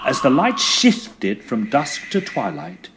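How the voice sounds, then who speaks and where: A man playing an earnest Edwardian gentleman who tells his ghost-hunting tale in formal, suspenseful phrases, as audio drama. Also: fairly high pitch, very animated, takes it slowly, creaky, background noise, full character voice. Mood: measured storytelling. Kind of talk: dramatic first-person storytelling, audio drama. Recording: music bed under the voice. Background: music.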